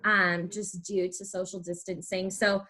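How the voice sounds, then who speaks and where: Bright-voiced young American woman hosting. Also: mid-range voice, little pitch movement, unhurried. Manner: chatty, encouraging, affirming. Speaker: podcast host. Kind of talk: casual interview.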